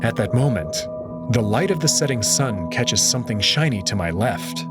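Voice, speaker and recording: A man narrating a visual novel in the first person, with dramatic, expressive storytelling. His pitch sits mid-range but moves in lively swings. Clear music runs underneath the reading. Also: moderate pace.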